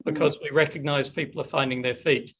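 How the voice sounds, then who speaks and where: A man's voice: fairly high pitch, steady tone, fairly quick, a bit bright, husky. A middle-aged man hosting a webinar Q&A on a video call, chatty and upbeat. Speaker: webinar host. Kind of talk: casual webinar Q&A.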